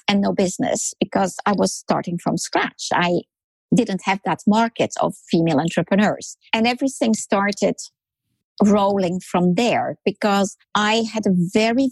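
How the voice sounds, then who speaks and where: A woman's voice: mid-range voice, a little mellow, husky, no room sound, rough recording. A woman in her sixties from France, a podcast guest telling her story in an emphatic way, repeating words for rhythm. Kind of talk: storytelling interview talk.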